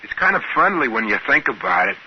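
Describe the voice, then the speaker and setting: A man's voice: medium pitch, very animated, quick pace, a little hiss or hum, natural voice. An American actor playing a folksy, easygoing male space trader in a 1950s radio drama. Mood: folksy, musing. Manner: folksy, colloquial. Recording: dull band-limited 1950s radio recording, music behind.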